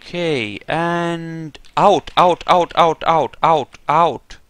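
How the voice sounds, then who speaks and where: A man's voice: a little high, very slow, fairly bright tone, smooth, no echo. Casual man commentating a game, dry close sound. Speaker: gamer doing a roleplay playthrough.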